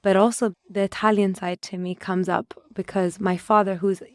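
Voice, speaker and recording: A woman's voice: medium pitch, little pitch movement, normal speed, darkish tone, no echo. A woman from Ethiopia, a guest on an interview podcast, answering thoughtfully and restarting words as she gathers her ideas. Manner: thoughtful, with frequent restarts while searching for words. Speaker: conservation adviser, podcast guest.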